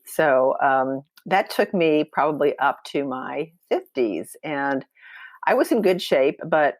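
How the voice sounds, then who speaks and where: A woman's voice: low voice, animated, takes it slowly, no room sound. A woman in her sixties, a podcast guest, telling her story in a chatty, upbeat way with lots of fillers and restarts. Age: 60s. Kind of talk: casual storytelling interview.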